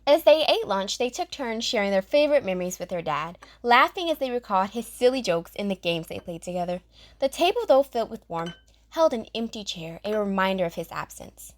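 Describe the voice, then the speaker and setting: A woman narrates a scripted story for children. Her voice sits at an average pitch for a woman. She reads as the storyteller of an audio drama. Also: animated, brisk.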